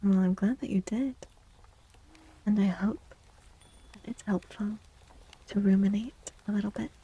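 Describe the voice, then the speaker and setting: A soft-spoken woman livestreaming an ASMR tarot reading, gentle and soothing, with a breathy voice that often slips into a whisper. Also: mid-range voice, steady tone, muffled recording. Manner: gentle, soothing, unhurried reassurance.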